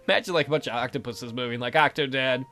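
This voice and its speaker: A young man reads a visual novel aloud for a let's play. His voice is bright and highly animated, and he keeps breaking off into playful asides. Faint music plays behind him. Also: brisk. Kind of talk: reading visual novel aloud with joking commentary.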